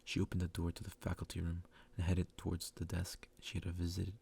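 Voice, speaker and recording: A man doing a soft ASMR reading of a story, sometimes stumbling over harder words. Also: low voice, monotone, fairly quick, creaky, noisy background. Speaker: ASMR creator reading a visual novel aloud.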